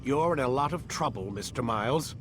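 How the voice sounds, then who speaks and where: A man's voice: mid-range voice, animated, brisk, background noise, close to an everyday voice. An actor playing a cold, controlling male doctor who talks in a brusque, clipped way. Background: game score music.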